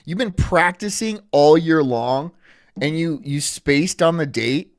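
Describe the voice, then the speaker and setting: A man's voice: a little high, slow, no room sound, clean studio sound. Rambling joking man streaming live. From Florida. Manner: rambling, joking, deadpan absurd asides. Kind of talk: casual live-stream chat reacting to a video.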